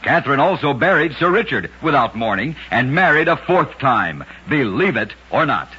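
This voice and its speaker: A man hosting and narrating short scripted oddity stories on an old-time radio show, telling them briskly and emphatically with punchy endings. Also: medium pitch, sing-song, very noisy. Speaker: radio host and storyteller. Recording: lo-fi vintage radio recording.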